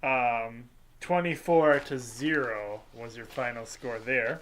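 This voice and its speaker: A congested host, casually reading a sports scores recap with lots of ums and uhs and small jokes. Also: male voice, mid-range voice, fairly bright tone, a little hiss or hum, rough recording. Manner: halting, filler-heavy delivery with light jokes. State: congested, sinusy.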